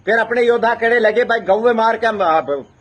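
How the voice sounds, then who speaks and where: A man's voice: extremely high pitch, normal speed, fairly bright tone. A man with a rural Haryana-Rajasthan accent telling oral history as a traditional community storyteller, emphatic and repeating phrases in a building chant. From North India.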